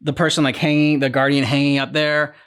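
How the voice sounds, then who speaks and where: A man hosting a reaction show, talking casually and haltingly as he thinks aloud. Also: a little high, little pitch movement, a bit bright, clear, resonant tone. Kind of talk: casual discussion.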